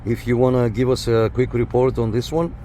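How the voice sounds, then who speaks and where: A man's voice: mid-range voice, flat delivery, fairly quick, mellow. A man hosting a casual hands-on radio gear test, speaking in short repetitive call-style phrases. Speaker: host of a CB radio antenna test. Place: inside a parked car and outside beside it. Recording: noisy car and outdoor background.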